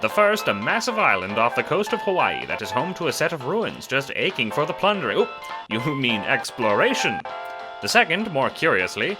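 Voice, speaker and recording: A man's voice: medium pitch, sing-song, moderate pace, over music, poor audio. A man narrating a scripted second-person story in a theatrical, wry manner. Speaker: narrator of an audio drama.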